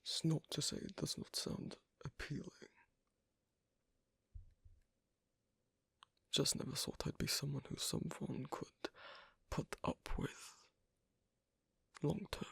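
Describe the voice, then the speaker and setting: A man playing a guarded, flirtatious loner werewolf in an ASMR roleplay, speaking hesitantly and teasingly in a breathy, husky near-whisper. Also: on the high side, a bit bright, no room sound, full character voice. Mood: hesitant, softly teasing. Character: gruff, guarded, flirtatious asocial werewolf man on a first date. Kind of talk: ASMR roleplay, intimate one-sided dialogue.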